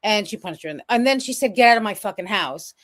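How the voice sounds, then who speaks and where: A woman's voice: medium pitch, expressive, fast talker, fairly bright tone. A woman co-host chatting casually about a case, blunt and wry in her remarks. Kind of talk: casual chat commentary.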